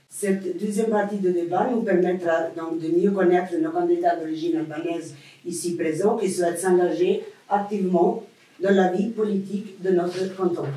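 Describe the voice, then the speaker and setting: Mellow-voiced host in an echoey hall. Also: mid-range voice. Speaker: event host and moderator. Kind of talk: read formal announcements. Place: large hall at an event. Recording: echoey hall, distant mic.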